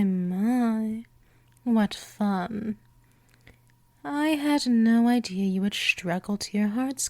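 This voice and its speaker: A woman voicing a gentle but dominant, seductive vampire in a scripted hypnosis roleplay, teasing and coaxing, often slipping toward a whisper. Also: a little high, gravelly, no echo, heavily acted. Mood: teasing, amused.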